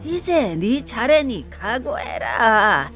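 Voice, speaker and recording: Folktale ghost villain: vengeful, taunting, high and very animated, slow menacing delivery. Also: female voice, faint music behind, exaggerated voice. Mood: vengeful, accusing. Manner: taunting, drawn-out threats. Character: vengeful cackling ghosts of executed court eunuchs, menacing. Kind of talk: theatrical folktale storytelling.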